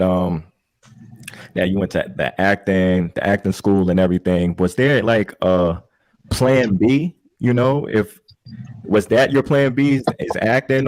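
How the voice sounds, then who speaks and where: A man with a very deep voice asks questions in an easygoing, casual way. He sounds relaxed and conversational. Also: poor audio. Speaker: podcast co-host.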